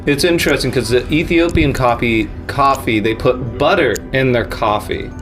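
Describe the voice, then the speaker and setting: A man's voice: medium pitch, normal speed, a bit bright. A man from the United States hosts a laid-back podcast with an easy mid-range voice. He rambles through his points and breaks into laughter as he goes. Faint music sits under the talk. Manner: rambling and jokey, laughs often. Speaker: podcast host. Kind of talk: casual comedic chat.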